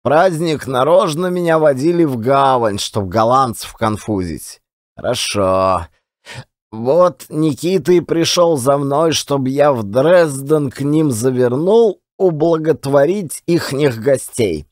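A man's voice: medium pitch, animated, slow pace, no echo, studio quality. An actor playing a garrulous, self-deprecating, folksy old-time Russian storyteller in a one-man audio play. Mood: rueful, chatty. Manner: colloquial, rambling, folksy.

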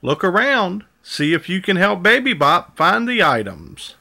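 A man's voice: high-pitched, sing-song, a little slow, creaky, pushed and bright. A man reading a children's story aloud in a sing-song way, prompting the young listener with questions. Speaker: storybook reader.

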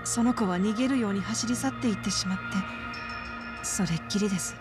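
A woman playing an earnest, troubled middle-aged caretaker in a game cutscene, speaking politely in measured, halting phrases. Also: medium pitch, music in the background, natural voice. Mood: troubled, confiding. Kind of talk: dramatic game cutscene acting.

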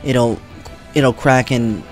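A man's voice: medium pitch, fairly even intonation, slow pace, faint music behind. Young man talking loosely, voice worn. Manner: loose, rambling, swears freely. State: voice weakened from treatment. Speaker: streamer. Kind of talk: casual vlog talk to camera. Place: home streaming room, indoors.

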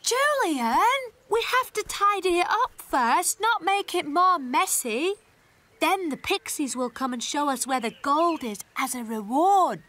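A woman's voice: sits high, animated, a little slow, a bit bright. A woman with a British accent playing an earnest, sensible little schoolgirl in a children's cartoon, childlike and matter-of-fact.

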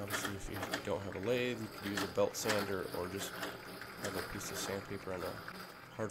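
A man with a head cold, casually talking through a workshop ring build in a relaxed way with fillers. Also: on the low side. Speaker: maker showing a ring build. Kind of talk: casual workshop tutorial voice-over. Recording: voice-over with clear music behind.

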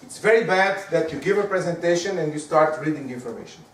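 A man from Portugal with a Portuguese accent, whose first language is Portuguese, giving a lively lecture on presenting and often asking the audience rhetorical questions. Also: a little high, fast talker, slight background noise. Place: lecture room. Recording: echoey room, speaker far from the mic.

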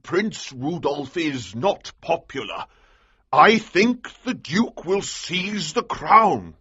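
Husky, excitable army colonel. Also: male voice, fairly high pitch, dry recording, muffled recording, voice pushed up, heavily acted. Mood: grave, urgent. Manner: emphatic, drawn-out, urgent delivery. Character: bold, excitable, commanding male army colonel. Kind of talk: audiobook character dialogue.

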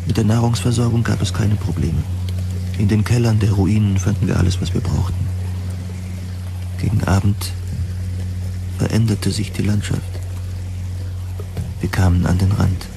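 Gravelly male audio drama narrator. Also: fairly low pitch, steady tone, normal speed, pauses often, over music. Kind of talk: scripted first-person story narration.

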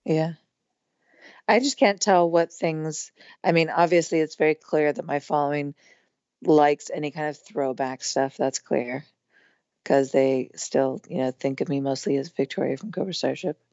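A woman in her thirties with a hoarse, strained voice, chatting casually as a podcast guest with lots of fillers. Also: deep voice, fairly even intonation, normal speed, darkish tone, dry recording, highs cut off. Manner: chatty, rambling with many fillers. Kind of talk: casual conversation.

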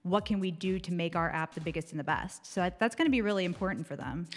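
A woman's voice: on the low side, fast talker, big-room sound, faint music behind. A young American woman giving casual, conversational commentary as a podcast segment presenter. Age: young adult. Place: small dark indoor studio. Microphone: close microphone on desk stand.

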